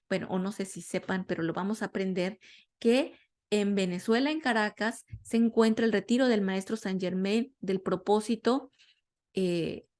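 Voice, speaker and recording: Woman from Latin America, spiritual teacher, chatty class talk, mid-pitched voice. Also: a little mellow. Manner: conversational, self-correcting, searching for words. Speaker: metaphysics teacher.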